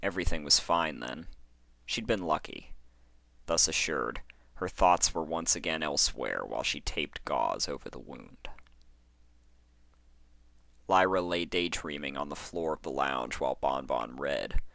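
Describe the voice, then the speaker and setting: Casting: male podcast storyteller, deep voice, informal narration, close dry recording. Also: animated, a little slow, vocal fry, pauses often, fairly bright tone, no echo. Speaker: podcaster and fan fiction reader.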